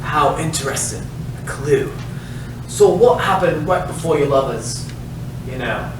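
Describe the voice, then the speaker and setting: A man's voice: fairly high pitch, expressive, unhurried. In an echoey, noisy room, far from the mic, a man plays a lovesick detective in a stage noir parody. He is melodramatic and awkwardly smitten, easily flustered. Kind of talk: comedic staged reading, film noir parody. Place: live staged reading in a roomy space.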